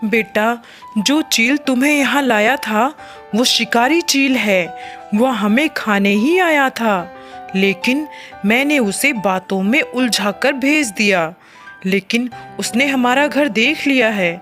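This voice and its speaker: Lady playing cartoon mama bird, caring n wise, highish voice, slow. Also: fairly bright tone, soft background music. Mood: urgent, cautioning. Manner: motherly, earnest, polite. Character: caring, shrewd mother bird. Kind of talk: Hindi cartoon character acting.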